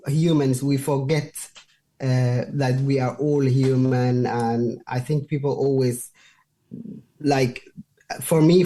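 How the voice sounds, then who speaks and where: A young woman from Somalia talks in English, a to her, with a mellow, relaxed tone. She is unhurried and stops to find her words. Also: sits low. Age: 20s. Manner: unhurried, searching for words in a second language. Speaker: guest. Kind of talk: casual interview talk.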